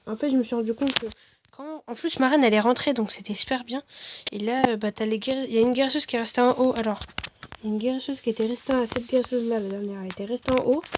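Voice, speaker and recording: A hoarse woman giving relaxed, rambling commentary over her own game replays, full of fillers and restarts. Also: a little high, fast talker, background noise. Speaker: gaming video host. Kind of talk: casual gameplay commentary.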